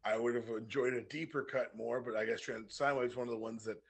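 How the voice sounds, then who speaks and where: A man's voice: medium pitch, steady tone, fast talker, creaky, slightly rough. A man from the southern United States co-hosting a podcast, bantering casually and wryly and repeating himself for emphasis. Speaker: podcast co-host.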